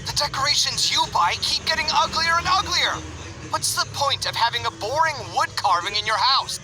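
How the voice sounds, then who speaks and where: A male actor voicing an exasperated, easily riled young architect in a video game, proud of his art and expressive in an indignant, dramatic way. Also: extremely high pitch, moderate pace, rough recording. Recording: background music under the voice.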